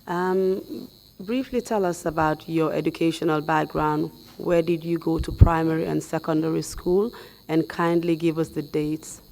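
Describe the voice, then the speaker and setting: A young woman with a hoarse voice, questioning a witness formally and politely as commission counsel through a headset mic in a studio. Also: deep voice, poor audio. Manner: measured, polite, flat delivery.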